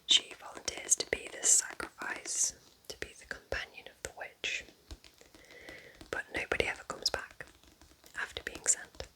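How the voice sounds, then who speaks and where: A woman whispers breathily in a gentle, chatty ASMR roleplay as a kind witch at home in her cabin. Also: normal speed, long pauses, low-quality recording. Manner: gentle, chatty, informal asides.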